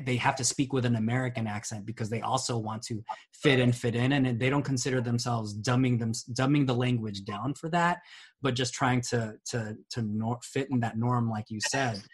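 A man's voice: medium pitch, fairly even intonation, normal speed, slightly rough. A man co-hosting a podcast, making his point earnestly while hunting for words with many "um"s and tag questions. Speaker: podcast co-host. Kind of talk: casual podcast discussion.

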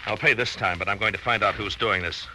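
Low-voiced, earnest radio-drama blackmail victim. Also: male voice, quick pace, sharp tone. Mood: grimly determined. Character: troubled, determined ex-convict businessman being blackmailed. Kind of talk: 1940s radio drama acting. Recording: noisy, crackly old radio recording.

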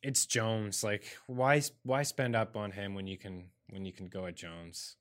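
A man's voice: fairly low pitch, fairly even intonation, a little slow, fairly bright tone. A man appearing as a guest on a sports podcast, giving fantasy football takes in an easygoing, agreeable way. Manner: easygoing, agreeable, laid-back. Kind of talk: casual sports chat.

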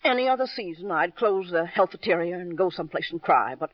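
A man's voice: high-pitched, animated, fairly quick, no room sound. A man voicing a pushy, sentimental, gray-haired woman who runs a health-food counter, with emphatic patter in an old radio drama. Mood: mournful, blunt. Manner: pushy sales patter, emphatic, fragmentary sentences. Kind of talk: 1950s radio drama acting. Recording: muffled old radio recording.

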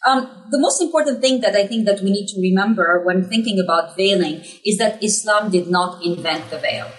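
A woman in her thirties from Egypt, an author answering interview questions in a measured way with many ums and restarts. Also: mid-range voice, distant mic, low-quality recording. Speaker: author guest. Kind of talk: academic podcast interview.

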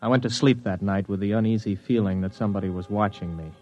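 A man's voice: on the low side, fairly even intonation, fast talker, close to an everyday voice. An actor playing a weary, stubborn spaceman who narrates his own story, in 1950s radio drama style. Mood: brooding. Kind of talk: 1950s radio acting with first-person narration. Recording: dull vintage radio recording, music.

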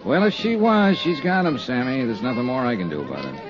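An American actor playing a world-weary insurance investigator who narrates a 1950s radio drama in a dry, terse, hard-boiled way. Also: male voice, mid-range voice, sing-song, brisk, lightly acted. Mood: weary, wry. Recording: muffled vintage 1950s radio recording, music behind.

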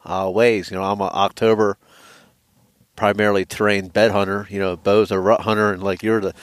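Male, twenties, slightly low voice, informal joking conversation, dry sound. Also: flat delivery, fairly quick, clean tone, dry recording. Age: 20s. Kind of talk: casual chat. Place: outdoors by a campfire.

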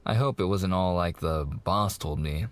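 An actor playing a mafia boss's young son, an eager, sweet fiancé coaxing in slangy gangster talk in an intimate roleplay. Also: male voice, deep voice, measured pace. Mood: sheepish. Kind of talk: boyfriend ASMR roleplay, intimate monologue.

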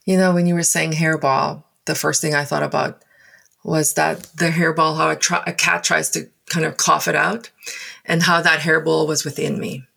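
Gravelly, low South African woman. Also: fairly even intonation, sharp tone. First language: Afrikaans. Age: 40s. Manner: thoughtful, halting, many pauses and fillers. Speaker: guest. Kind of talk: reflective personal storytelling.

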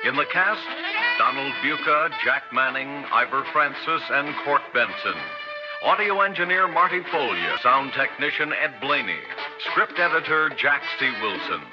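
A man's voice: on the high side, dull audio. A man announcing credits in a formal 1960s radio style, on a band-limited vintage broadcast. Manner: formal, crisp announcer delivery. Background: loud orchestral music.

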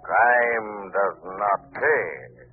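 An actor playing an ominous, mocking, all-knowing avenger with drawn-out theatrical delivery in old radio style. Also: male voice, lowish voice, lively intonation, slight background noise. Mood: ominous gloating. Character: sinister omniscient male avenger, mocking and ominous. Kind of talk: 1940s radio acting. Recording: phone-like old radio, music behind.

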